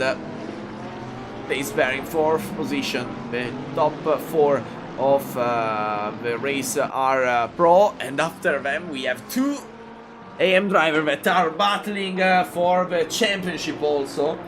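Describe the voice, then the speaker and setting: A man from Italy with an Italian accent, calling a live sim race in halting English with many fillers and excited jumps. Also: on the high side, background noise. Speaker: race commentator. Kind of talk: live sim racing commentary.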